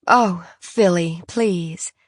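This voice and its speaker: A woman's voice: on the low side, drawn-out words, no room sound, studio quality. A woman reading an audiobook, voicing a genteel, fussy mother who talks in a prim, gushing and insistent way. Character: genteel fussy francophile mother, high-strung.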